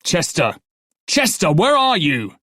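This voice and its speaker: A stern young guard barks firm, formal orders, pushed louder as his patience wears thin, as a male narrator plays him. The voice lifts slightly from the narrator's low one but keeps its dry, close sound. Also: steady tone, a little fast, no echo, close to an everyday voice. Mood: bellowing. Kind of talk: audiobook character voice.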